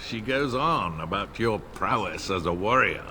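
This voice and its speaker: Polite old lord ally, deep voice, measured and formal. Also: male voice, expressive, bright, forceful tone, heavily acted. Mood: courteous. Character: older male noble ally, courteous and formal.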